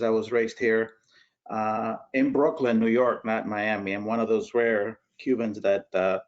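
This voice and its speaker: A Cuban-born man in his sixties, an art critic, chatting at length in a casual online talk. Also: on the low side. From Cuba. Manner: talkative, chatty. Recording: dull lo-fi audio.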